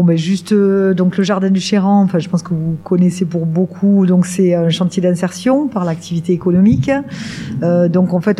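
Mellow-voiced woman explaining with many pauses. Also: on the low side. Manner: hesitant, many fillers and restarts, explanatory. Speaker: director of a work-integration market garden. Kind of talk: radio interview guest explaining her organisation.

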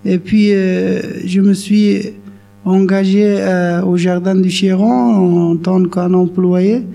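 A man from Afghanistan, giving his own story in a radio interview, slowly and carefully with frequent pauses. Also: high voice, fairly even intonation, vocal fry, warm tone, faint music behind. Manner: slow, careful, pausing often. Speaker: former garden employee, now forklift driver. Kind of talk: personal testimony in a radio interview.

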